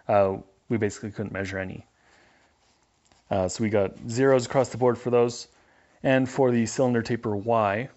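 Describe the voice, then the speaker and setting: A man's voice: on the low side, darkish tone, dull audio. A man giving a casual, matter-of-fact instructional voice-over as a hands-on mechanic.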